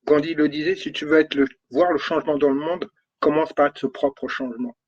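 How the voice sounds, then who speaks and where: A man's voice: a little high, fairly even intonation, brisk, a little mellow, slightly rough. A man coaching on energy and spirituality, thinking aloud with lots of repetition and checking "okay?", talking with a runny nose. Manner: repeats words, thinks aloud, stresses points with "d'accord?". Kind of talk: spoken talk on spirituality. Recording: dull lo-fi recording.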